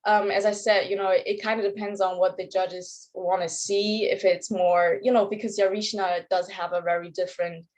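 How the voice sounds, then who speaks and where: A woman's voice: medium pitch, flat delivery, normal speed, few pauses, a little mellow. A young woman from Germany with a German accent, chatting casually as an interview guest and athlete. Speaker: interview guest, competitive bodybuilder.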